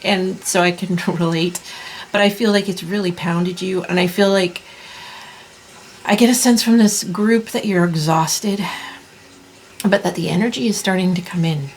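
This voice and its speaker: A woman in her thirties giving a tarot reading in a soft, reassuring way, clearing her throat as she loses her voice. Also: lowish voice, steady tone, slight background noise. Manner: soft, slow, reassuring delivery with many restarts. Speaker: tarot reader. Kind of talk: pick-a-card tarot reading.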